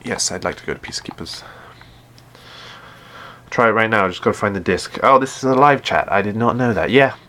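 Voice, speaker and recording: Hesitant low-voiced man streaming a game. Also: a little fast, long pauses, pushed and bright, slight background noise. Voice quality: deep voice. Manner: hesitant, stumbles and repeats words. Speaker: gaming live streamer. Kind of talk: casual chat while playing a game live.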